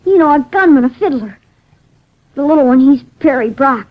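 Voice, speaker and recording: A woman's voice: high voice, lively intonation, normal speed. A woman playing a guarded, plaintive, streetwise nine-year-old runaway boy in a 1950s radio drama. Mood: wary, streetwise. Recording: muffled vintage 1950s radio recording.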